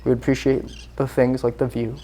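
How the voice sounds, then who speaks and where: A man who grew up in Singapore answers interview questions in an earnest, meandering way. His pitch sits in a mid range, and his sentences trail off and restart, padded with small fillers. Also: little pitch movement, a little fast, dark tone, low-quality recording. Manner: earnest, rambling, with many fillers. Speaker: guest. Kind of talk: casual interview chat.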